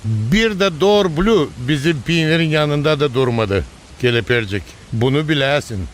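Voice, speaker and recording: A man playing a kindly, rustic old father in a cartoon, with a Gagauz accent and a folksy, unhurried way of explaining. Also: on the high side, animated, a little hiss or hum, lightly acted. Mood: teasing, proud. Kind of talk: animated cartoon dialogue.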